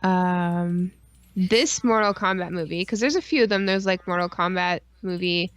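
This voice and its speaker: A woman co-hosting a stream in casual, rambling fan chat, excitable and full of slang and swearing. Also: on the low side, moderate pace, no echo. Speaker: podcast and stream co-host.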